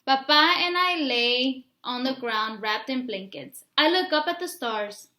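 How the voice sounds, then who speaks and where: A woman with a high, bright voice reads a children's story aloud in a roomy, echoey space. She is expressive and instructive, speaking straight to her young listeners as a teacher does. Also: measured pace. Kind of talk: picture-book read-aloud with questions to young listeners. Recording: roomy, echoey room sound.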